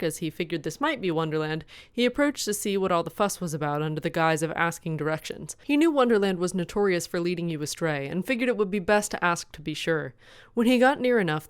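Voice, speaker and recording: Woman reading a story out loud, lowish smooth voice, dry close recording, host narrating. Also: dry recording. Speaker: co-host and reader of fan fiction.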